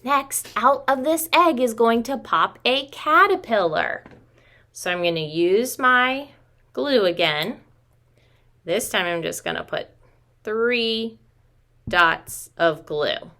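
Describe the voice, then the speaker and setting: A woman's voice: on the high side, expressive, slow pace, bright, forceful tone. A woman in her thirties, a preschool teacher, talking simply to young children through a craft demonstration at home. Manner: simple, child-directed talk, addressing listeners as friends. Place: small room at home.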